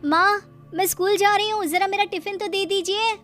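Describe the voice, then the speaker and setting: A high-pitched young schoolteacher daughter, voiced by a woman in a cartoon family drama. She is indignant and defensive, protesting every remark, with music behind her. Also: steady tone, normal speed, fairly bright tone. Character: defensive unmarried schoolteacher daughter nearing thirty. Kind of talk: Hindi cartoon story acting.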